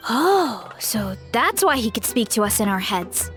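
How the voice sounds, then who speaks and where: A woman playing a gentle, naive young warrior in a game, guileless and hesitant in manner. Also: a little high, sing-song, measured pace, over music. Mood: wondering.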